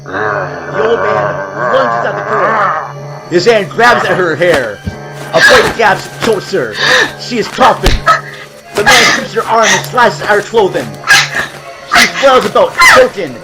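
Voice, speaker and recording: Casting: male horror narrator, creaky and deliberate, slightly high pitch with animated swings, music blaring underneath. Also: poor audio. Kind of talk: scripted horror audio drama narration. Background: loud music.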